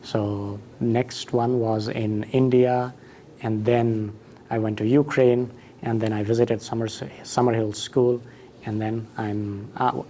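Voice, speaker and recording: Soft-spoken man, thoughtful. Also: mid-range voice, a little hiss or hum. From Nepal. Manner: thoughtful, unhurried, frequent fillers and restarts. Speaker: school director and educator. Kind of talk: conference interview, recounting his story.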